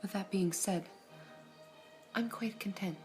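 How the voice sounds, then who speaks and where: A woman voicing a serene all-seeing female gem in an ASMR roleplay, whispering softly in a measured, formal way. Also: mid-range voice, soft background music, lightly acted. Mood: calm whispering.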